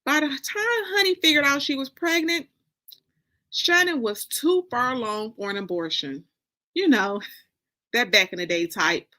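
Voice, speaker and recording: Bright-voiced American woman reading dramatically. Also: high-pitched. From Detroit, United States. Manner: deliberate, expressive, dramatic phrasing with pauses. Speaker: author reading from a novel. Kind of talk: dramatic reading of first-person narrative.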